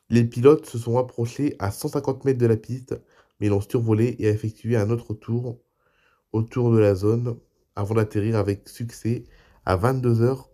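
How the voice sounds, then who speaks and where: Soft-spoken man with a head cold. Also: mid-range voice. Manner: reads articles, then adds loose spoken asides. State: has a cold, speaks softly. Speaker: YouTube news show host. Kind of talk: reading aviation news aloud with comments.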